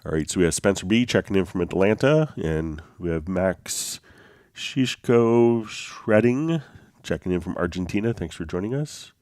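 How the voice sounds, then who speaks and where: A man hosting a live software tutorial stream, sounding a little congested from a slight cold, talking in an easygoing way with frequent fillers and restarts. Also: low voice, animated, normal speed, dry recording, studio quality. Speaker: host.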